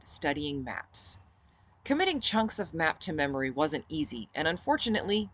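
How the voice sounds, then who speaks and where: A woman with a low voice reading her own novel aloud as first-person audiobook narration. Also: a bit bright.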